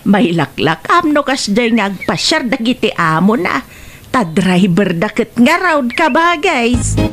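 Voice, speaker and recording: A Filipino woman playing a proud, protective middle-aged mother in a comedic radio drama, expressive and full of exclamations. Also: a little high, measured pace. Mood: proud, chatty. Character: proud, protective village mother, easily flustered. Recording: faintly noisy radio drama track.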